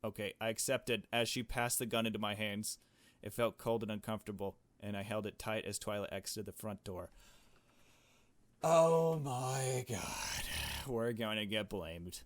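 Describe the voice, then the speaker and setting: Low-voiced man reading a game story. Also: unhurried. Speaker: let's player. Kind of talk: reading a visual novel aloud with commentary.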